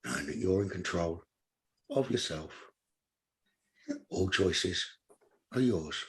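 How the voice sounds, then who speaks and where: Male meditation guide, somewhat deep and strongly raspy-breathy, calm instructional delivery in short spaced phrases. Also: slow. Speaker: meditation teacher.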